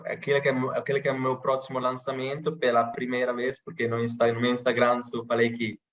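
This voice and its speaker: A man from Italy speaking with an Italian accent, chatting casually in a podcast interview with many pauses and restarts. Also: medium pitch, monotone, fairly bright tone, lo-fi sound. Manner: hesitant, many restarts and fillers. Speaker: interviewee, channel host.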